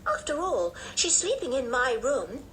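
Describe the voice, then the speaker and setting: Woman voicing an eager high-pitched little girl. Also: huge pitch swings, unhurried, a bit bright, a little hiss or hum, full character voice. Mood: puzzled. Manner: eager, exclaiming, polite. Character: young girl Anne, chatty, curious, excitable.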